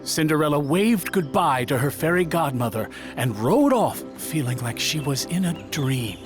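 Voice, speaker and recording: A man narrating a scripted children's fairy tale in an expressive, dramatic storytelling manner. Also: on the high side, normal speed. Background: music.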